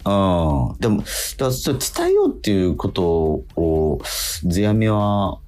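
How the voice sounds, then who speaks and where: Male radio host: very deep voice with animated intonation, earnest casual talk, lots of agreeing interjections, faint music underneath. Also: a little slow. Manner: earnest, self-questioning, with frequent affirming interjections. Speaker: radio show host and stage actor.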